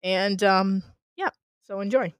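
A woman hosting a show, introducing a segment in a relaxed way with many fillers. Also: medium pitch, takes it slowly, clean tone, no echo, clean studio sound. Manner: relaxed, with frequent fillers and back-channel laughs. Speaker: podcast host. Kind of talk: introducing a segment, casual chat.

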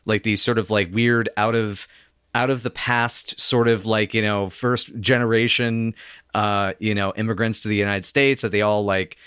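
A man's voice: on the low side, steady tone, normal speed, fairly bright tone, smooth, dry recording, studio quality. Rambling man on a podcast. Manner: rambling, many "like" and "you know". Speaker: podcast guest. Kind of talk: casual chat.

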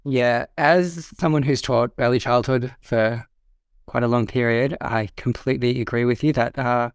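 A podcast host who once taught early childhood, asking questions in a relaxed, hesitant way. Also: medium pitch, moderate pace, clear, resonant tone, dry recording, clean studio sound. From Australia. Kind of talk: casual interview chat.

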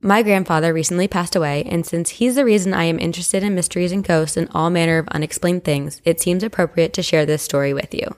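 A woman's voice: fairly low pitch, quick pace, few pauses, darkish tone. A woman co-hosting a podcast, chatting casually and reading listener stories aloud. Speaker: podcast co-host.

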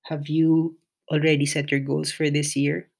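Slow, creaky-voiced podcaster. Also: mid-range voice, flat delivery, darkish tone. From Philippines. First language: Filipino. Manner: hesitant, many pauses and restarts; shy, introverted. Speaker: podcast host. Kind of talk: solo podcast monologue.

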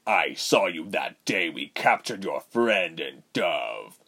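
A man's voice: sits low, very animated, slow, gravelly, sharp tone, slightly rough, voice pushed down, exaggerated voice. A man voicing a cruel, arrogant general in a fantasy storybook, with a menacing, commanding manner. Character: cruel, arrogant male general, wicked villain.

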